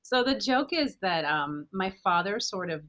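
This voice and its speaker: A woman's voice: medium pitch, lively intonation, measured pace, a bit bright. A woman from Guyana, a physician guest, telling chatty personal stories with fillers. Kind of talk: casual interview chat.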